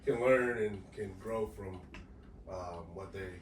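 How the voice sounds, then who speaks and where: A man in his thirties leading a circle's closing remarks, measured and reflective, often saying "basically". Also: lowish voice. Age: 30s. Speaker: circle facilitator. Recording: echoey room, distant mic, background noise.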